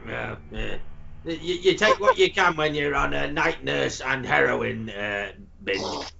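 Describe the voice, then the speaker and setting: A man hosting a crude comedic chat, rambling and stammering with sudden shouty outbursts. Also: medium pitch, very animated, slow, creaky. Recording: slightly muffled with faint noise.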